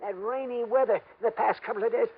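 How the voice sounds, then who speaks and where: High-voiced, eccentric old man. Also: brisk, slightly rough, exaggerated voice. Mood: rambling, querulous. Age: older. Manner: absent-minded, contradictory, theatrical. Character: erratic old eccentric wealthy man, fussy and contrary. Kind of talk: old-time radio drama acting. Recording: dull, noisy vintage radio recording.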